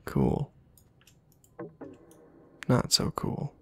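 A man giving casual, playful ASMR game commentary in a hushed, breathy half-whisper, muttering to himself close to the microphone. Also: extremely slow, slight background noise. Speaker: gaming video host.

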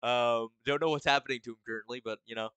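A man with a deep voice chatting casually on a live game stream, rambling and stop-start, laughing often. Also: fairly even intonation, quick pace, over music. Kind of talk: casual live-stream chat while gaming.

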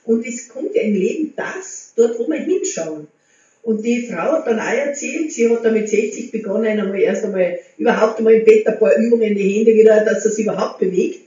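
A woman's voice: mid-range voice, brisk, slight background noise. A woman, chatting to camera at home as a motivational vlogger and gesturing as she talks. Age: 60s. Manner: chatty, gestures with hands, warm encouraging tone. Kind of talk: casual talk to camera. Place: living room at home. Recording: very echoey room, slightly dull sound.

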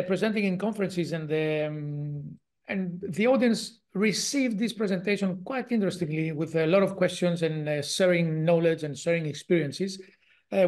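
A man's voice: high voice, a little mellow. A man in his forties from Greece with a strong Greek accent, a guest on an interview, talking in a hesitant way with many fillers and restarts over a video call. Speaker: guest researcher. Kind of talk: casual interview talk. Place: home office with shelves.

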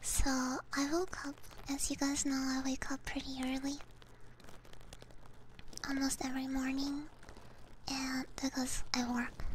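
A woman streams ASMR in a hushed, high-pitched whisper, very close to the microphone. She chats casually at a slow, halting pace. Clear noise sits behind her voice. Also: low-quality recording. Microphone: close binaural ear microphone.

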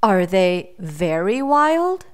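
A woman's voice: mid-range voice, very animated, very slow, smooth. A woman voicing a friendly, easily frightened male elephant in a storybook, gulping and hesitant. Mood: anxious.

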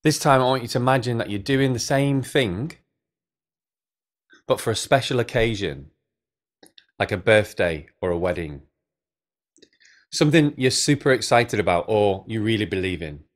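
A man's voice: lowish voice, lively intonation, moderate pace, long pauses. A man in his thirties preaching an all-age sermon in an engaging, direct way, putting questions to his listeners. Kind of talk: all-age sermon, addressing kids and adults. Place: small plain indoor room.

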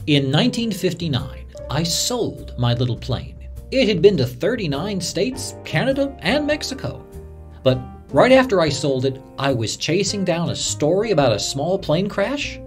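A man's voice: fairly high pitch, sing-song, moderate pace, faint music behind. A man playing a retired radio newsman in a scripted first-person reminiscence. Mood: wry reminiscing. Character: retired male radio newsman recalling his career.

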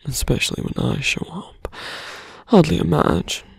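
Suave villain mage for fantasy ASMR roleplay, played by a man: very creaky, breathy, slow and deliberate, mocking with calm menace. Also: a little high, dry recording, clean studio sound, full character voice. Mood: smug, taunting. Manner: hushed, deliberate, condescending, often dropping to a whisper.

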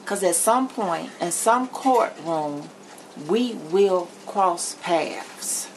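A middle-aged woman vlogger with a lowish, gravelly voice talks bluntly and emphatically to camera. She is recorded in a home kitchen on a camera mic, with some background noise. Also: animated, extremely slow, poor audio. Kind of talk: candid chit-chat to camera while cooking.

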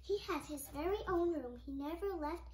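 A child reading a picture book aloud, halting and repeating words, adding little comments along the way. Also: very high-pitched, background noise. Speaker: storybook reader, channel host.

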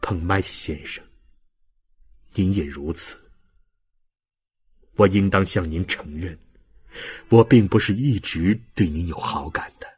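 Very husky, frail dying old father. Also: low-pitched, darkish tone, exaggerated voice. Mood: grateful, earnest. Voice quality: husky, breathy. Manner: slow, halting, sentimental delivery. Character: frail dying old father, tender, humble, bidding farewell. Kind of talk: dramatic audiobook reading of a classic novel.

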